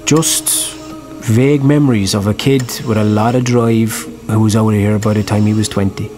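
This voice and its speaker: An actor playing a probing, reflective writer with an Irish accent, narrating and talking in a radio drama. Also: male voice, fairly low pitch, fairly even intonation, moderate pace, over music, lightly acted. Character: probing, reflective male writer telling a troubled friend's story.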